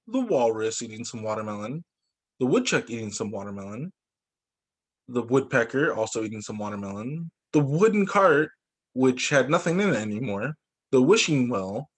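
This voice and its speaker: A man working as a teacher, reading children's storybooks aloud in an expressive way. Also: mid-range voice, slightly rough. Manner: expressive, acts out dialogue for young children.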